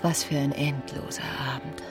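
Woman playing a low-voiced, discontented wife. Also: fairly even intonation, normal speed, close to an everyday voice. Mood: weary contempt. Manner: brooding, intimate, sometimes murmured. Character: bored, discontented young wife, secretly contemptuous. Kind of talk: German radio drama acting. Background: music.

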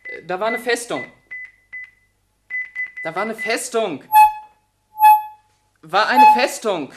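A man's voice: high-pitched, animated, takes it slowly, over loud music. An actor playing a flustered schoolboy in a radio play, hesitating and stammering as he bluffs through answers. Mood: flustered, groping. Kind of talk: German radio play acting.

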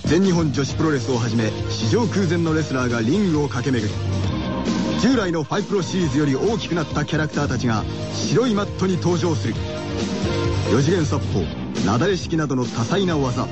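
A man delivers a scripted radio commercial. He reads very briskly in a fairly high male voice. Loud music runs behind him throughout. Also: lively intonation. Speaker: commercial announcer.

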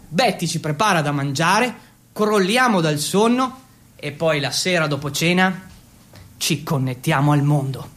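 A man's voice: high-pitched, a bit bright. Theatrical male actor in a dramatic monologue. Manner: theatrical, listing things with relish. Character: Italian teenage exchange student telling his story. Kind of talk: dramatic monologue in the second person.

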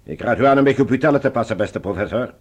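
A man's voice: medium pitch, expressive, fast talker, slightly rough. A man playing a cold, suspicious Martian supreme leader in a Dutch science fiction radio drama, curt, sarcastic and commanding. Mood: curt, menacing.

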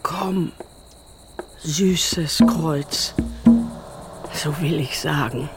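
A woman recites sacred lines dramatically in a radio play. She speaks at a middle pitch and takes her time, with clear music behind her. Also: extremely slow, exaggerated voice. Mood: devout pleading.

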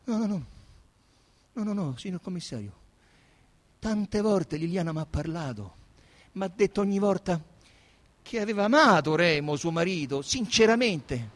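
A man playing an agitated young male murder suspect on stage, pleading and confessing in halting, insistent, self-justifying bursts. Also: high-pitched, animated. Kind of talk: theatrical dramatic monologue. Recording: reverberant hall.

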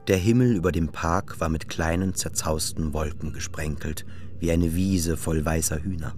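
A man's voice: a bass voice, moderate pace, a little mellow. A man narrating a radio drama in a scripted literary style as its storyteller. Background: music.

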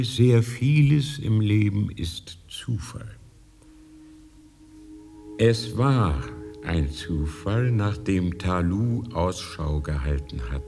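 Ancient, slow, deep-voiced lama. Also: male voice, vocal fry, heavily acted. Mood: calm, explanatory. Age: older. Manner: solemn, deliberate, measured storytelling. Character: ancient, wise, fatherly high lama of a mountain monastery. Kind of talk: 1980s German radio drama acting. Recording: long reverberant hall.

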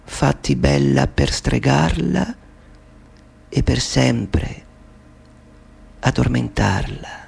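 An actor voicing a wicked witch slowly chanting a rhyming poison spell in a radio play. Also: medium pitch, wildly swooping pitch, vocal fry, a little mellow, husky, full character voice. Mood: sinister. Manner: slow incantatory chant.

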